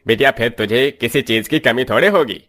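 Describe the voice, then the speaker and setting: A man's voice: medium pitch, a little fast, fairly bright tone, lightly acted. A man voicing a kindly older village uncle in a moral story cartoon, affectionate and given to blessings.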